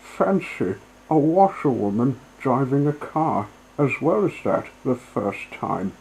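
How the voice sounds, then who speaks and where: A man's voice: medium pitch, big pitch swings, takes it slowly, darkish tone, husky, voice pushed up, heavily acted, rough recording. A man voicing a genteel male motorist in a storybook, exclamatory and condescending. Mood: jovial, approving. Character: genteel male motorist, jovially patronising towards a washerwoman. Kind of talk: storybook character voice.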